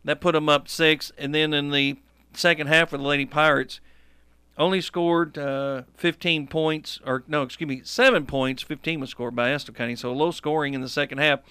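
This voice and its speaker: A man's voice: a little high, steady tone, normal speed, pushed and bright, smooth, dry recording. A man co-hosting a local sports radio show, reciting game scores and correcting himself as he goes. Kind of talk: game recap commentary. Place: radio studio. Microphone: studio microphone.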